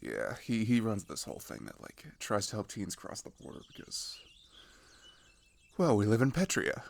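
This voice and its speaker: A man playing a friendly, chatty teenage migrant boy in an ASMR roleplay, talking casually and haltingly with pauses and sighs. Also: lowish voice, wildly swooping pitch, gravelly, a little hiss or hum, full character voice. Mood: easygoing, teasing. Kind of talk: ASMR roleplay, one-sided conversational acting.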